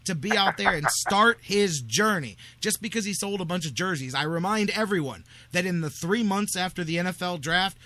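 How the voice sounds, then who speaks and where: An American man hosting sports talk, making blunt, opinionated points in a colloquial, forceful style. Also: sits high, animated, moderate pace, few pauses, slight background noise. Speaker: sports talk radio host.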